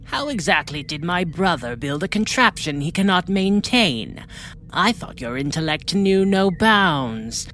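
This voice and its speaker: Casting: actress as scornful scaly villain sister, low and slow, faint noise behind. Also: female voice, animated, a bit bright. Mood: contemptuous. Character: haughty, scornful large scaly reptilian sister. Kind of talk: audio drama acting.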